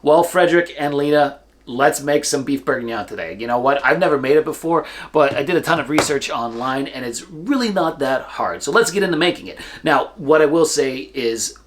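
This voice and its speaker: A young man hosting his cooking channel, his voice hoarse and stuffed up, walking through a recipe in a laid-back, joking way and swearing now and then. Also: fairly high pitch. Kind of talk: casual recipe walkthrough.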